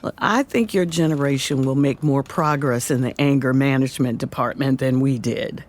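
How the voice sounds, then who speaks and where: A woman's voice: a bass voice, normal speed, darkish tone. A woman playing a candid, wry older woman answering interview questions in an audio drama.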